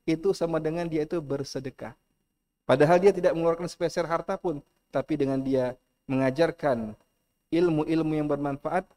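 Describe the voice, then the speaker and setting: A middle-aged man with an Indonesian accent giving an earnest lecture in a mosque, repeating key phrases and reciting Arabic slowly. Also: on the high side, darkish tone. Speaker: preacher.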